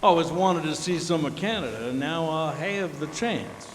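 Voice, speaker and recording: A man's voice: on the high side, expressive, normal speed, natural voice. A man playing an affable retired American army major, folksy and polite, in an old-time radio drama. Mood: genial. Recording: hall reverb with faint noise.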